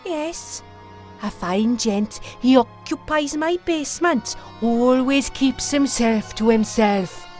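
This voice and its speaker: Casting: actress as superstitious old-fashioned landlady, high and slow, folksy storyteller, music behind. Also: a little mellow. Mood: matter-of-fact. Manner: quaint folksy phrasing, dramatic storytelling. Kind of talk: fan audio drama acting.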